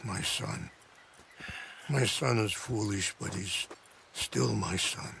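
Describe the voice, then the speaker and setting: An actor playing a weary, dignified old chief and worried father in a video game drama, solemn and measured. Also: on the low side, vocal fry, fairly bright tone, slight background noise, exaggerated voice. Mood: grave, sorrowful.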